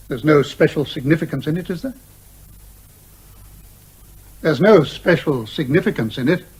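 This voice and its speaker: An actor playing an older British major, pompous, hearty and blustering, in an old-fashioned radio comedy style. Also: male voice, on the high side, slightly rough, low-quality recording. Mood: wounded, stiff. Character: blustering, genial old retired major, father who despises lawyers.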